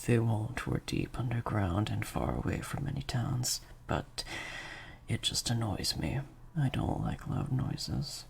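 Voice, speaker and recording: A man playing a slightly sadistic, controlling vampire master in an intimate improvised audio roleplay, hushed and deliberate, with long pauses. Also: mid-range voice, lively intonation, slight background noise. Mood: stern, condescending. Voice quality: breathy, creaky half-whisper. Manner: slow, deliberate, hushed delivery with drawn-out pauses.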